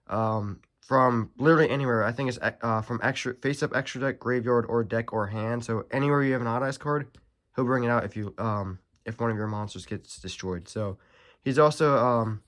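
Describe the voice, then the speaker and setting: A young man with a stuffy, nasal voice from allergies, casually walking through a card deck, rambling with many pauses and self-corrections. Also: mid-range voice, fairly bright tone. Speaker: card game channel host. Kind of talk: casual deck profile walkthrough.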